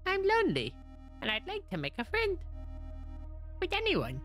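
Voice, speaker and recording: A woman voicing a small, lonely male creature in a very high, squeaky voice, gentle and cryptic. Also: wildly swooping pitch, normal speed, faint music behind, exaggerated voice. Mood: wistful.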